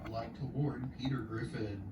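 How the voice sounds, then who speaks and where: A man's voice: medium pitch, fairly even intonation, moderate pace. A man in casual banter, sounding like a friend chiming in from the side. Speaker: friend joining the video remotely or off-camera. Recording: distant, echoey, noisy pickup.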